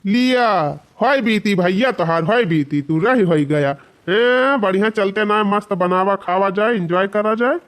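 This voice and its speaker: A man acts out a carefree, scheming village fellow from a cartoon comedy. His voice sits high for a man. He talks in a chatty, persuasive, rustic way with an Awadhi accent. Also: unhurried, few pauses, a bit bright.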